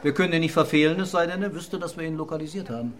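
A man's voice: a little high, steady tone, rapid-fire, a little hiss or hum, close to an everyday voice. A man acting in a radio drama as a methodical police investigator, questioning and summing up step by step. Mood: methodical, pressing.

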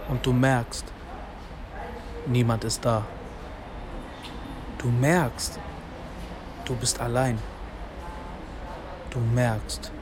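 A man's voice: mid-range voice, background noise, close to an everyday voice. An actor playing a bitter young man from an immigrant neighbourhood in a radio drama monologue, speaking in rhythmic short phrases with insistent repetition. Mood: sad, resigned. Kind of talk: literary radio drama monologue, spoken-word delivery.

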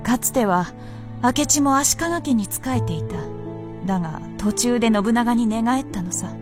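Radio drama princess of feudal Japan woman performer: higher register, cool, knowing, commanding archaic phrasing, faint music underneath. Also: lightly acted. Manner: lordly, archaic masculine phrasing, measured and knowing.

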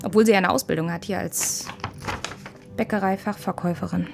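A woman's voice: medium pitch, fairly even intonation, fairly quick, vocal fry, background noise, natural voice. An actress playing a sober, sceptical female police investigator in a naturalistic radio drama, matter-of-fact and understated. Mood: sober, musing.

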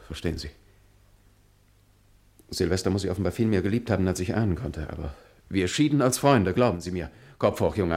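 An actor playing a shaken, grieving friend, speaking with halting, earnest drama in a radio crime play. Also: male voice, deep voice, creaky, darkish tone. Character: shaken, grieving male friend of a dead man.

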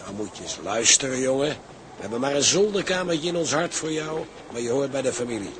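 An actor playing a gruff, fatherly ship's engineer with salty sailor talk in a radio drama. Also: mid-range voice, lively intonation, a little fast, close to an everyday voice. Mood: fatherly, firm. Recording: noisy outdoor drama scene.

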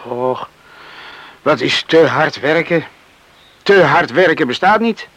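A man's voice: a little high, animated, takes it slowly, fairly bright tone, heavily acted. A man acting in a Dutch radio play as an earnest, tender, hardworking young farm man in love. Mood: matter-of-fact, busy. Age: young adult.

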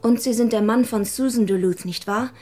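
An actress playing a shy, frank twenty-year-old would-be writer, naive and earnest, in a radio drama. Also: female voice, on the high side, moderate pace, a little mellow, natural voice. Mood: awed.

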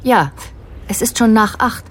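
An actress playing a considerate staff member in a naturalistic radio drama, speaking politely and tentatively. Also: female voice, fairly high pitch, animated, measured pace, slight background noise. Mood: polite, helpful. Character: polite, considerate female staff member closing up an archive department.

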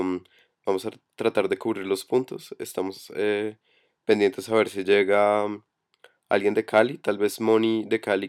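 Man, hoarse from a cold, low monotone voice, hesitant, headset mic. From Colombia. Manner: hesitant, many fillers. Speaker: meeting coordinator. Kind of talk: online voice chat meeting. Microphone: computer headset for voice chat.

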